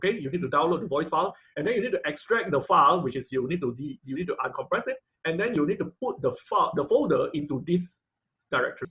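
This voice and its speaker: A man's voice: on the high side, lively intonation, quick pace, bright, forceful tone. A man giving an informal live online technical class as instructor, often repeating words and rephrasing mid-sentence. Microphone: video call microphone. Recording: dull, lo-fi video call audio.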